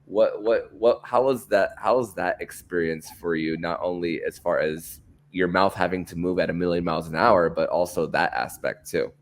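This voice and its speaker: A man hosting a sports podcast, asking long-winded interview questions in a halting, repetitive way. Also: deep voice, slight background noise.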